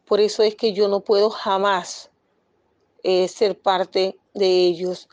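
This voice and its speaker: Woman activist, insistent and firm, dry close sound, mid-pitched. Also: little pitch movement, slow, darkish tone, no room sound, dull audio. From Panama. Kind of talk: impassioned statement to press.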